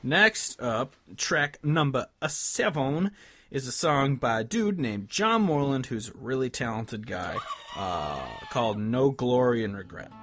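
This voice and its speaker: A man's voice: medium pitch, animated, a little slow, background noise. A man in his twenties chatting casually about music as a podcast co-host, rambling and informal, swearing now and then. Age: 20s.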